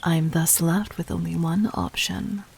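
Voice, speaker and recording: A woman playing a calculating, commanding demon queen in an audio roleplay, speaking formally and deliberately in a soft voice that often dips toward a whisper. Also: lowish voice, steady tone, vocal fry, husky. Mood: cool, commanding. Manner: measured, regal, formal, deliberate. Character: calculating, commanding demon queen toying with her would-be assassin. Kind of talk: scripted audio roleplay addressed to the listener.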